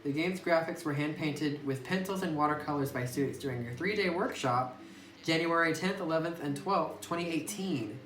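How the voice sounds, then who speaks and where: A man's voice: on the high side, moderate pace, fairly bright tone. A man playing through a horror visual novel, reading the game text aloud and reacting nervously to scary moments, recorded on a phone mic some distance away. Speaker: let's play gamer. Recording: distant roomy phone audio with noise.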